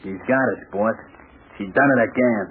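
A man's voice: lowish voice, normal speed, natural voice. An actor playing a tough, grumbling American gangster henchman in a radio serial, blunt and full of slang. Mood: disgruntled. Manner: slangy, blunt, wise-guy delivery. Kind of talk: old-time radio serial acting. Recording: tinny, noisy old radio recording.

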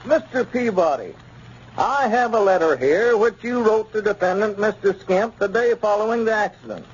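An actor playing a formal, pressing small-town lawyer in a 1930s radio comedy, questioning deliberately. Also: male voice, high voice, breathy edge. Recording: muffled 1930s radio recording.

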